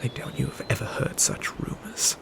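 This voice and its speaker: A man playing a dutiful, ambitious knight and protective brother in a scripted medieval fantasy audio roleplay, with measured, formal phrasing. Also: whispered, lightly acted. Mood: exasperated.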